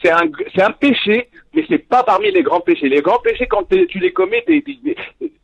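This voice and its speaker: Tunisian-born man calling in, earnest, halting. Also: very high voice, wildly swooping pitch, gravelly, husky. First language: Arabic. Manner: earnest, halting with many restarts. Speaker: caller. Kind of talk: call-in talk. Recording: dull lo-fi phone call audio.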